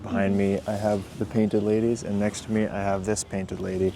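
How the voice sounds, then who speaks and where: A man's voice: on the low side, level tone, moderate pace, noisy background. A young man with a North American accent, talking casually and narrating a travel vlog, with music behind him. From Canada and the USA. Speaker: vlogger.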